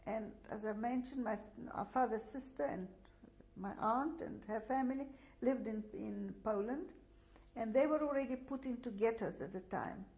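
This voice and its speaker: A woman's voice: fairly high pitch, normal speed, a little mellow, rough recording. Older woman, hesitant testimony. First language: Russian. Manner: hesitant, many fillers and restarts. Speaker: interviewee. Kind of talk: oral history testimony.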